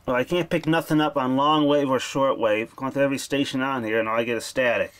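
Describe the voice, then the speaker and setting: A man's voice: mid-range voice, steady tone, quick pace, a bit bright. A man giving an informal, offhand hands-on product demo, speaking from behind a handheld camera. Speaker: video creator demonstrating a vintage radio. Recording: background noise, handling clicks.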